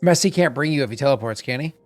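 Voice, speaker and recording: A man's voice: medium pitch, lively intonation, very fast, no room sound, faint music behind. A man running a tabletop game as game master, rambling with many fillers and restarts on a home microphone.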